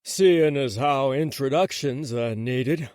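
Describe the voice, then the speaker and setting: A man's voice: mid-range voice, slow pace, a little mellow, dry recording, clean studio sound, lightly acted. A man voicing a cocky young man with a short fuse. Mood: smooth. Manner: smooth then hollering. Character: cocky young man who loses his temper.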